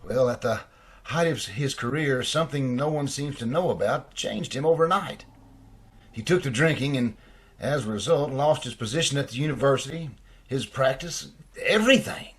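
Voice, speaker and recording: A man's voice: medium pitch, normal speed, slightly rough. A man playing an earnest, worried family doctor in an old-time radio drama, pleading and hesitant. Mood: explanatory, hesitant.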